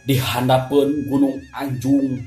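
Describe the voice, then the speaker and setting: An echoey recording with music behind carries a Sundanese-accented storyteller. The delivery is very unhurried and polite, addressed straight to the listeners as a folk tale is presented. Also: medium pitch, little pitch movement, dark tone. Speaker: storyteller and channel host. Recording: echoey voice over clear background music. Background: background music.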